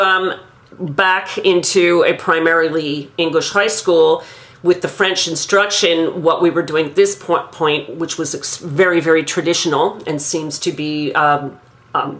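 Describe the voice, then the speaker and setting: A woman in her fifties from Quebec, thoughtfully recounting her own school memories in a measured, pausing monologue. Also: low voice, a bit bright. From Quebec, Canada. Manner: measured, thoughtful, with frequent pauses. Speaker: grandmother recounting her language learning. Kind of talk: reflective personal monologue.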